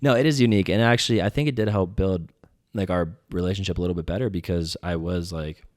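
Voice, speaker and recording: A man's voice: deep voice, a little mellow, no room sound, clean studio sound. A man on a podcast as a guest, chatting casually, playful and joking, often cutting in. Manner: playful, joking, rapid and interrupting. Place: podcast studio.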